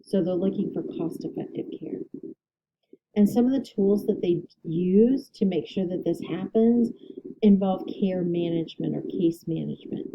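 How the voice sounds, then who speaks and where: A woman instructor giving a recorded lecture, her voice a little hoarse, explaining steadily with ums and self-corrections. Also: fairly low pitch, moderate pace, dark tone, a little hiss or hum, poor audio. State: slightly hoarse, clearing throat.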